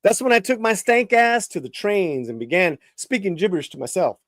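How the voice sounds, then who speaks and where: A man performing a dramatic live reading of his own first-person novel, swinging between hushed lines and emphatic ones, often restarting words. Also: sits high, very animated, fairly quick, dry recording, studio quality.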